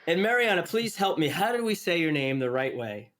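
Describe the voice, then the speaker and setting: Casting note: male podcast host with a somewhat high voice, friendly and chatty, trips over his words. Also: very animated, brisk, a bit bright. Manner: chatty, stumbles and restarts mid-sentence. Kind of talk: hosted interview.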